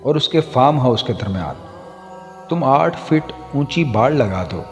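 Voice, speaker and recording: A man narrates a moral tale at a slow, unhurried pace. His mid-pitched voice is formal and measured, like a scripted reading. It is soaked in long hall reverb. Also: lively intonation. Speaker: storyteller. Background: background music.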